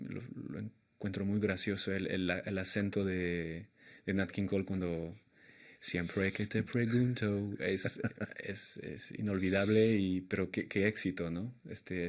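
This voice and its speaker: A young man with a slight French accent, a jazz pianist answering interview questions in a hesitant way, often pausing and restarting as he looks for words. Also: low voice. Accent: slight French accent in Spanish. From Argentina and France.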